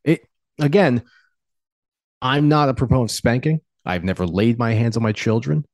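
An American man co-hosting a podcast, giving earnest, deliberate opinions on the news in a conversational way. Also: medium pitch, a little mellow, no room sound, studio quality. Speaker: podcast co-host.

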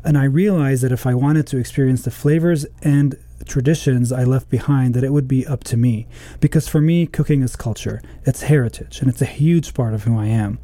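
Man from Lebanon, small business owner, earnest scripted testimonial, deep mellow voice. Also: fairly quick, no room sound. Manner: earnest, personal storytelling.

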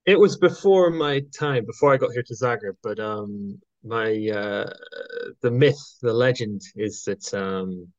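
A man's voice: mid-range voice, a little slow, no echo. A man speaking as a podcast guest, chatting casually in a hesitant, jokey way, with dull sound. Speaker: podcast guest, Gaelic games coach. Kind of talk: casual interview chat. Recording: dull, muffled audio.

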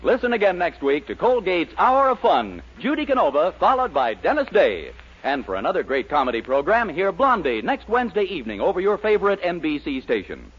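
A male American announcer from 1940s radio reads scripted copy with a lot of lift and swing. His voice sits fairly high with a husky edge. The old broadcast recording is muffled. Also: big pitch swings, brisk, faint music behind.

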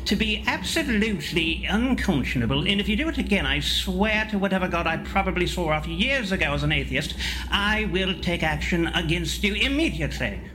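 A man's voice: high voice, lively intonation, fairly quick, sharp tone, a little hiss or hum, poor audio. A man playing a pompous, verbose eccentric who speaks in formal, easily outraged sentences in an improvised role-play. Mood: indignant.

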